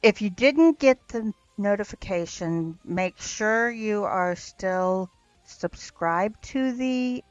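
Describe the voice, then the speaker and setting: A woman co-hosting a podcast, speaking in a halting way with many fillers. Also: medium pitch, lively intonation, no room sound, faint music behind. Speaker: podcast co-host. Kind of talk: casual chat on a podcast. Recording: dull, dry home mic.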